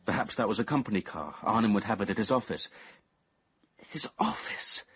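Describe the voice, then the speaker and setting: An actor playing a brooding, obsessive young man who narrates his confession in measured, intense tones, at times near a whisper. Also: on the low side, expressive, a bit bright, slight background noise. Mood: bitter. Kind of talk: radio drama first-person narration.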